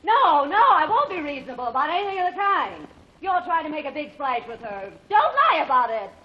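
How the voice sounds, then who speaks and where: An actress playing a vain, temperamental stage star in a 1940s radio drama, imperious and quick to rage. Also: female voice, high-pitched, sing-song. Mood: furious. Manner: imperious, haughty, flares into shouting rage. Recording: muffled 1940s radio broadcast, faint hiss.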